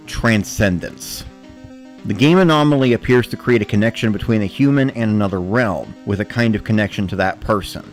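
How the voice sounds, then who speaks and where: A man narrates a scripted first-person story as a dramatic reading. His voice is on the low side and his delivery is measured and matter-of-fact, with faint music underneath.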